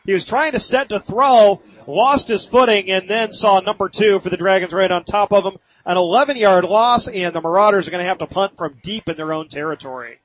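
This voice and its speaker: An American man doing live radio play-by-play of high school football in vivid, colloquial language. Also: brisk, few pauses, a bit bright, a little hiss or hum, poor audio.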